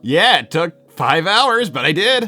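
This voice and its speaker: A man's voice: on the high side, sing-song, a little slow, pushed and bright, exaggerated voice. A man voicing an easygoing, evasive young hedgehog hero in a cartoon fan dub, casual and rambling. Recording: background music under voice.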